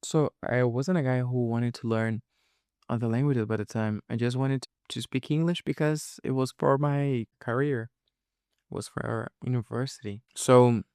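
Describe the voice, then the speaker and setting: Casting: male podcast host, native Portuguese speaker, informal explainer, noticeable vocal fry, very dry close sound. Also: mid-range voice, darkish tone, no room sound. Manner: informal, explanatory, often checking in with "you know" and "okay". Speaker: podcast host and English teacher. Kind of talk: casual podcast monologue to listeners.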